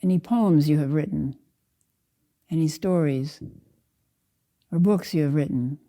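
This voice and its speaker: An older woman leading a guided meditation as a Zen teacher, speaking in a calm, unhurried, measured way with spaced-out phrases. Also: deep voice.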